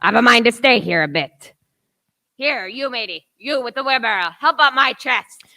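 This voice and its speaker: Woman as old seafaring captain, creaky voice, gruff, commanding, wary, pirate dialect, stage radio drama. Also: fairly high pitch, animated, normal speed, pushed and bright. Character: gruff, suspicious old seaman captain, rum drinker. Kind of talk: amateur radio play acting.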